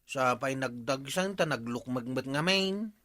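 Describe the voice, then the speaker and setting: An actor playing an anxious villager bringing urgent news in a comedy radio drama. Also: male voice, medium pitch, animated, unhurried, sharp tone.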